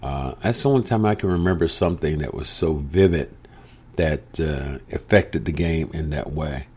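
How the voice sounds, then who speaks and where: A middle-aged man, a sports broadcaster, talking sports in a reflective way, often pausing on fillers. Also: a bass voice, darkish tone, husky. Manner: reflective, halting with frequent fillers. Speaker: sports broadcaster and podcast co-host. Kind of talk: casual sports talk.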